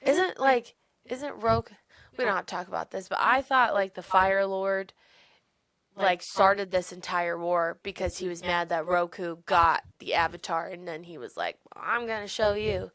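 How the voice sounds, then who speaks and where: A woman's voice: medium pitch, normal speed, fairly bright tone, no echo. Woman in casual, dry-sounding podcast chat. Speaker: podcast co-host.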